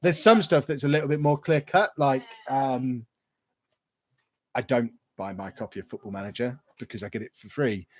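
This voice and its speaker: A man's voice: mid-range voice, moderate pace. Chatty man on a livestream. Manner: chatty, rambling, reads viewer questions aloud. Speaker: YouTuber hosting a livestream Q&A. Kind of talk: casual livestream chat.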